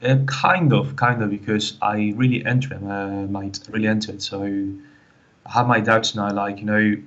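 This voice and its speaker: Man, Spanish accent, British English mix, low voice, rambling, fillers, muffled call audio. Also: fairly even intonation, a little slow, fairly bright tone. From Canary Islands, Spain. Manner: rambling, many fillers and restarts. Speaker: caller. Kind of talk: phoned-in personal story.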